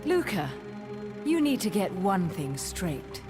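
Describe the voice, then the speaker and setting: A woman's voice: mid-range voice, very animated, slow pace. An actress playing a stern, guarded witch in a video game, blunt and commanding. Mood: firm, protective. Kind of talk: video game cutscene acting. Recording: loud music underneath.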